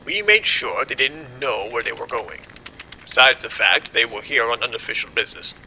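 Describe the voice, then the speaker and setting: Male actor grim lead scientist, gravelly, very sharp tone, deliberate, commanding, cold, horror audio drama, faint rumble. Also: animated, rough recording. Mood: controlled, cold. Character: cold, commanding male doctor covering up a disaster.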